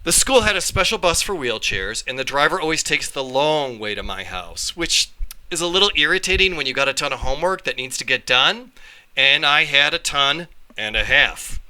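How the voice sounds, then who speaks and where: A man reading a children's story aloud in an animated, expressive way, with dramatic pauses. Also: a little high, clear, resonant tone. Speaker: read-along storyteller, teacher.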